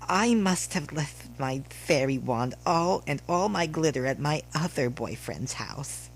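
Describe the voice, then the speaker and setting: Cheeky college-age stallion for audio fiction, played by a man: pitched up, teasing, theatrical, proud, light background hiss. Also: animated, unhurried, poor audio. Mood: mocking, playful. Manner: teasing, theatrical, mock-camp. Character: cheeky, proud, nonchalant young male college pony.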